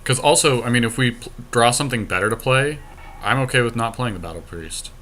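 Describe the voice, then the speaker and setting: A man's voice: medium pitch, brisk, pushed and bright, slight background noise. A man co-hosting a podcast, giving casual play-by-play of a card game with dry, teasing banter. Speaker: podcast co-host.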